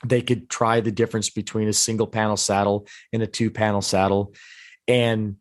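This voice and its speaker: Hoarse low-voiced man recapping. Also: no echo. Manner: long rambling recaps with many restarts. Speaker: podcast co-host. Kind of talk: casual podcast chat.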